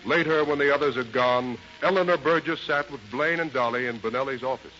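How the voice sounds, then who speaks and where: Bright, portentous radio narrator man. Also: medium pitch, faint music behind, close to an everyday voice. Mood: solemn, dramatic. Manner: portentous, measured. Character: solemn, dramatic male storyteller of a radio melodrama. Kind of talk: 1930s radio drama narration. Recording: dull 1930s radio recording.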